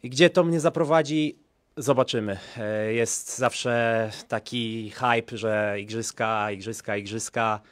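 A man in his thirties, a professional windsurfer, answering at a press conference in a relaxed, chatty way with many fillers, into a handheld mic. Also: mid-range voice, slow, no room sound. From Poland. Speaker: pro windsurfer. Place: small conference room, indoors. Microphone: handheld microphone.

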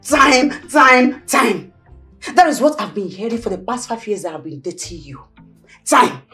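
A woman's voice: a little high, animated, a little slow, sharp tone, soft background music. An actress playing a frustrated young woman tired of waiting to be married, scolding and mocking. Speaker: actor. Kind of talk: Nigerian film drama acting.

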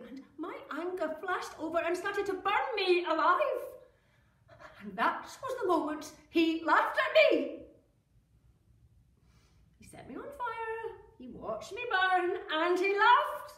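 An actress performing a theatrical monologue as an embittered woman who stabbed her husband, speaking with a low, intense, brooding delivery. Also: distant mic. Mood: furious. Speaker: actor performing a stage monologue. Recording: echoey room, mic from afar.

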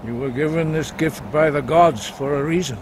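A man voicing a solemn elderly monk who guides a student through trials, speaking in a measured, grave way. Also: fairly high pitch, a little hiss or hum, close to an everyday voice. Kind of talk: game dialogue.

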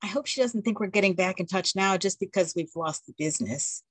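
A woman's voice: medium pitch, fairly quick, a bit bright, husky, no echo. Nervous young bride, apologetic and eager, voiced by a woman reading her story; dry, close sound. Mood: anxious. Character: young anxious wife, apologetic.

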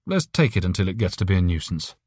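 A man's voice: sits low, big pitch swings, fast talker, darkish tone, husky, no room sound, clean studio sound, heavily acted. A man voicing a drunk, cynical mathematician in an audiobook, bored, offhand and sceptical. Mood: resigned. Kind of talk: audiobook character voice.

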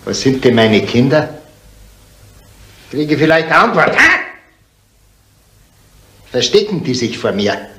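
A man's voice: medium pitch, sing-song, slow pace, pauses often, a bit bright. A man playing a drunken, bullying village innkeeper in a radio crime drama, barking belligerently. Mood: menacing. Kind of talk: 1970s German radio crime drama acting. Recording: echoey room with faint noise.

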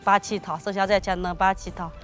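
A woman's voice: medium pitch, fairly even intonation, fast, faint music behind. A woman in her forties giving a casual, hesitant account with many fillers and restarts. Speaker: villager. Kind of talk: casual testimony.

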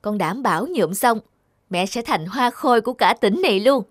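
A man's voice: high-pitched, animated, moderate pace, fairly bright tone, no echo, studio quality. A man voicing a caring young father in a cartoon, reassuring and coaxing. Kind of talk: cartoon voice acting.

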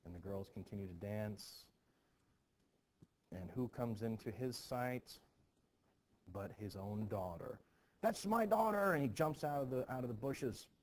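A man teaching students about a play in an informal, animated storytelling manner. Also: on the low side, measured pace, pauses often. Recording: clearly noisy background.

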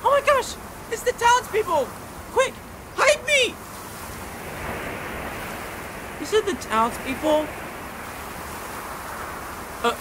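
A man's voice: very high-pitched, huge pitch swings, slow pace, long pauses, exaggerated voice. A man voicing a greedy, pompous, hot-tempered little cartoon creature in a melodramatic, indignant amateur character demo. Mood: panicked. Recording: clear background wind and noise.

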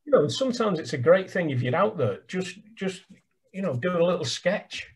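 An older Englishman talks over a laptop video call from a small room at home, his voice husky and a touch high. He speaks with eager energy, gesturing and doubling words as he goes. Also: animated, fairly quick. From England. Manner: enthusiastic, gesturing, repeats words. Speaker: birdwatching guide. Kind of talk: casual video call chat. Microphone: webcam on a video call.

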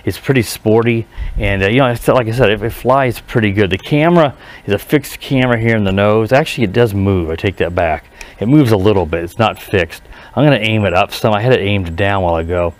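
A man giving a casual, unscripted hands-on review outdoors, speaking haltingly and often correcting himself. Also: on the low side. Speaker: product reviewer. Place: outdoors in a residential driveway. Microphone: head-mounted action camera.

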